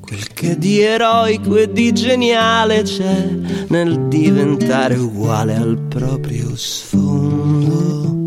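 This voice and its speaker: A woman sings a poetic acoustic song. Her voice sits low and the phrases unfold extremely slowly. Plucked guitar music plays prominently with her. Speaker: singer.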